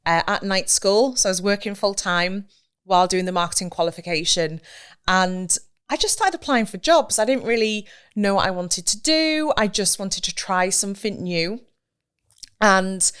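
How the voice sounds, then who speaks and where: A woman's voice: lowish voice, fairly bright tone, clear, resonant tone. A woman in her thirties, a business owner, talking in an earnest, reflective way about her career as a guest in a casual interview. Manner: earnest, reflective, with some restarts.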